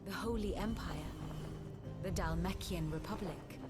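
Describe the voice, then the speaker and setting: A woman playing an erudite strategist in a game, giving a formal, articulate, measured war briefing over music. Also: fairly low pitch, natural voice. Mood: composed, grave. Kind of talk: scripted expository game narration. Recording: loud music behind the voice.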